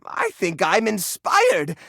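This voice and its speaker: Fussy, sentimental junk-drawer hoarder for a dating game: theatrical, highly animated delivery, creaky edges, neurotic temper. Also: male voice, slow, no room sound, studio quality, heavily acted. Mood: delighted. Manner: fussy, theatrical, swinging into outbursts. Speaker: voice actor in a dating sim game. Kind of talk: animated video game character acting.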